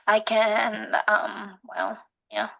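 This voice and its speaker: A young woman with a quiet voice, talking casually about herself in a hesitant, slightly awkward way. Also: mid-range voice, flat delivery, very slow, creaky. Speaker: student participant. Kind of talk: casual personal talk.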